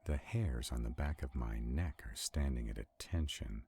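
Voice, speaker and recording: Man acting very deep gravelly arrogant vampire. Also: normal speed, warm tone, exaggerated voice. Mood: uneasy, wary. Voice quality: gravelly, husky. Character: arrogant centuries-old male vampire, protective, skeptical. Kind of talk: roleplay audio drama.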